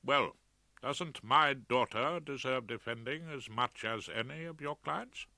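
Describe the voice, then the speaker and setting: Audiobook role, male reader: grieving British gentleman, early sixties, moderate and without rancour, clear bright edge, dry recording. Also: medium pitch, unhurried, dry recording, pitched above the performer's own voice, full character voice. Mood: quietly earnest. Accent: British English. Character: moderate, well-bred bereaved father in his early sixties.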